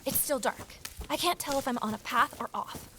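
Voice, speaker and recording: A woman's voice: high-pitched, moderate pace, sharp tone, slight background noise, poor audio. A woman playing a wry, uneasy young journalist who narrates a mystery audio drama in a confiding way. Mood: tense, alarmed. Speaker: lead character and narrator.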